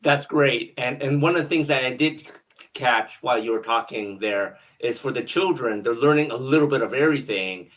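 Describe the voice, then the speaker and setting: A man with a medium-pitched voice hosts a casual podcast interview. He is encouraging and affirming, posing questions in an everyday conversational style. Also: normal speed, fairly bright tone.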